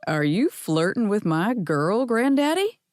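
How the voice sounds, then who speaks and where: A woman's voice: medium pitch, big pitch swings, measured pace, a little mellow, dry recording, studio quality. A woman voicing a sincere, affectionate young man in an audiobook romance. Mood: playful.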